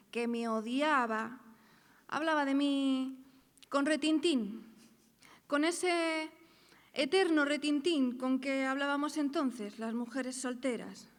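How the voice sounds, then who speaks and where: Actress as high-voiced proper young lady, theatrical, echoey hall. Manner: declamatory, rhetorical. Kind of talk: theatrical radio drama monologue. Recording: echoey hall, long reverb.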